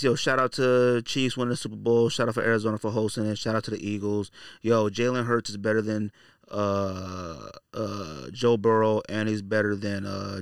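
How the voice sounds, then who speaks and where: A man's voice: fairly low pitch, clear, resonant tone, dry recording. Guy doing a solo podcast rant, slangy and blunt, repeats himself to hammer it home, really dry sound. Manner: blunt, slangy, emphatic, repeats phrases for effect. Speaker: podcast host. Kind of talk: casual opinionated podcast monologue.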